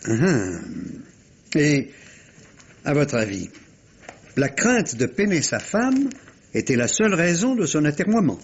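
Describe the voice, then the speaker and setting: An actor playing a polite, sceptical police inspector in a vintage radio mystery, questioning in a hesitant, roundabout way. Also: male voice, on the high side, sing-song, slow pace, pauses often, a little hiss or hum, exaggerated voice. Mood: probing, hesitant. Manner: hesitant, courteous, roundabout questioning with repeated words. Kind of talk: 1950s French radio mystery acting. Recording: dull, lo-fi vintage radio recording.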